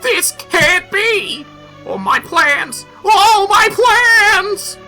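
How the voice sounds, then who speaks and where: A man's voice: extremely high pitch, expressive, pushed and bright, soft background music, voice pushed up, heavily acted. A man voicing a greedy, scheming racketeer boss from a comic, with a hammy, theatrical delivery. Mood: panicked. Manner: drawn-out, hammy.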